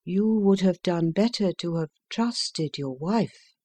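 A composed, courteous detective, read by a woman, speaking in measured, unhurried phrases. Her voice sits low and the recording is dry and close. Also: expressive, warm tone, no echo. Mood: calm. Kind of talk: audiobook character reading.

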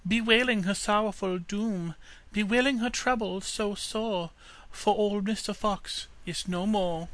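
A man's voice: high voice, steady tone, a little slow, a bit bright, no room sound, voice pushed up. A man voicing a female house cat who serves as a fox's maid, answering in sing-song rhymes. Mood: eager. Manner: sing-song rhyming replies. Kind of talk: storybook reading.

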